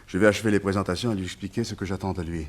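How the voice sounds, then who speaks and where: A deep-voiced, haunted, earnest man. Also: steady tone, very fast. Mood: tender, solemn. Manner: earnest, confiding, brooding. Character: anxious, haunted man, devoted to his pet tiger. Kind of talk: French radio play acting.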